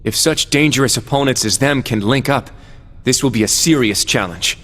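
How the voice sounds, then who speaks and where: An actor playing an earnest, resolute young hero in a video game, serious and intense. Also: male voice, medium pitch, normal speed, a bit bright, natural voice. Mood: grave. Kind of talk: English video game dub acting. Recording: echoey hall reverb.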